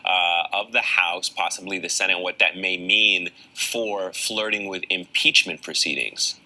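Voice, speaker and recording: A man's voice: low voice, fairly even intonation, measured pace, bright, forceful tone, rough recording. A man working as a news interviewer, putting questions to a guest in a broadcast style.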